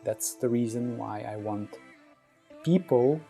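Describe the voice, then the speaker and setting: Gravelly-voiced male vlogger from Romania. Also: medium pitch, takes it slowly, warm tone, soft background music. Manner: emphatic, repeats phrases and tags with "right?". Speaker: vlog host. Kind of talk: casual, rambling monologue to viewers.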